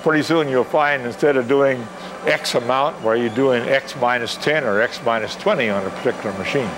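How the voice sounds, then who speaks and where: A man's voice: medium pitch, fairly quick. Septuagenarian man rambling in a noisy gym. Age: 70s. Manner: rambling, with many uh fillers and repeated words. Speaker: interviewee, senior athlete. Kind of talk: casual interview answers.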